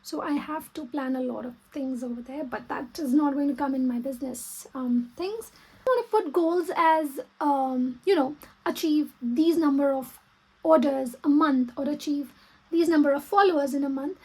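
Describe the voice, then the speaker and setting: High-pitched Pakistani woman thinking aloud. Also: darkish tone, rough recording. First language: Urdu. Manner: thinking aloud, halting while typing. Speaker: vlogger and small business owner. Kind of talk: casual plan-with-me vlog talk.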